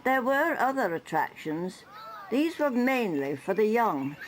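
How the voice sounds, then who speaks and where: A woman's voice: on the high side, big pitch swings, measured pace, noisy background. A woman narrating a local newsreel from a script, with light wry humour.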